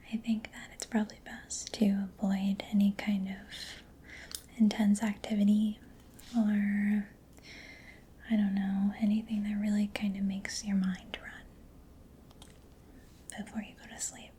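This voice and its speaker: A woman doing a soft-spoken ASMR roleplay as a mechanic, in a breathy whisper with a gentle, hesitant, reassuring manner. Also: medium pitch, monotone, slow pace, pauses often.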